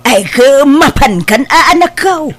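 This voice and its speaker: A woman's voice: high-pitched, expressive, unhurried. A woman with an Ilocano accent playing a caring, doting mother of a grown son in a family audio drama. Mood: gentle, supportive. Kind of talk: Ilocano radio-style family drama acting.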